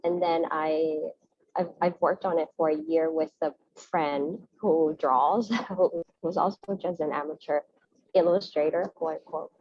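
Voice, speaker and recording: A woman's voice: sits low, steady tone, unhurried, slightly rough, dry recording. A woman asking an informal question as an audience member, tentative and self-effacing, heard over lo-fi call audio.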